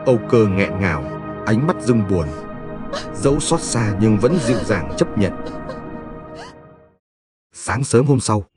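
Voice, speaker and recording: A man reading a scripted legend as a storyteller, in a solemn, measured manner. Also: fairly low pitch, animated, over loud music. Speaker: narrator.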